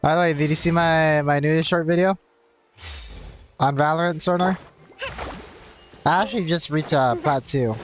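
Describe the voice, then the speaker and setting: A man's voice: a little high, normal speed, long pauses. Young man chatting casually over game music. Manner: rambling, conversational. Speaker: game livestreamer. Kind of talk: casual chat while gaming.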